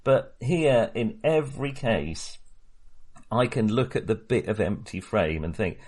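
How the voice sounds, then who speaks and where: A man with a fairly low voice in a relaxed podcast film discussion. He talks conversationally as a co-host, feeling his way through his phrasing. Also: a little slow.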